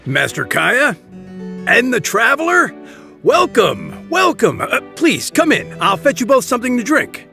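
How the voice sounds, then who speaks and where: A man's voice: very high voice, wildly swooping pitch, measured pace, gravelly, pushed and bright, exaggerated voice. An actor voicing a polite, dutiful older male butler in a game cutscene, formal and courteous and coughing now and then. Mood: welcoming. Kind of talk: video game cutscene dialogue. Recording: game audio with background music.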